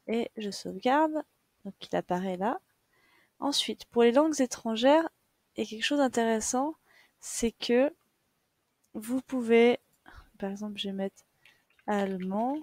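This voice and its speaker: A woman's voice: fairly high pitch, slow, pauses often, a little mellow, no echo. A young woman giving a patient, step-by-step screen tutorial as a teacher, with many fillers. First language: French. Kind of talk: screen-share tutorial lesson.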